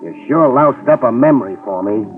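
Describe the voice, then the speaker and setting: An actor playing a wisecracking New York private detective who narrates a 1950s radio drama. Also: male voice, medium pitch, expressive, a little fast, distant mic, lightly acted. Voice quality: dry, wisecracking. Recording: tinny old radio broadcast with music.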